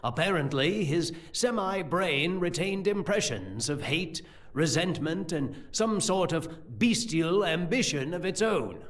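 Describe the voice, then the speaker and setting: A learned, scheming sorcerer-priest played by a male actor in a fantasy audio drama. His voice sits fairly high and swings widely in pitch as he explains things formally and deliberately. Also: lively intonation. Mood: grave, explanatory.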